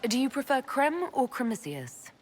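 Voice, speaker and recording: An actress playing a composed, friendly hero commander in a fantasy video game, asking things in an earnest, curious way. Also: female voice, high voice, very animated, normal speed.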